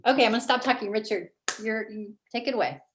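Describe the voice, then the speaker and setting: A woman's voice: fairly high pitch, fast talker, fairly bright tone, a little hiss or hum. A woman from Indiana moderates a video webinar, praising the speakers with enthusiasm.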